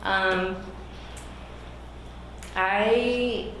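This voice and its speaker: A woman running for a school board seat, whose first language is Spanish, answering questions earnestly with frequent pauses and fillers. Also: medium pitch, steady tone. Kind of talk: candidate interview. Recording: echoey room, background noise.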